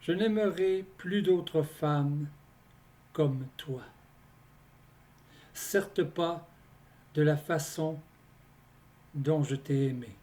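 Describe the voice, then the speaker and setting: A man reciting his poems in a scripted, deliberate delivery, phrase by phrase with pauses between them. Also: on the high side, darkish tone. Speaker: poet reading own poems. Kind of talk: slow scripted poetry recitation.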